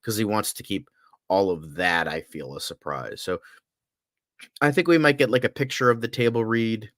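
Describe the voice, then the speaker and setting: A man co-hosting a podcast, speculating casually in an informal, halting way. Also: lowish voice, a bit bright, no room sound, clean studio sound. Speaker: podcast co-host.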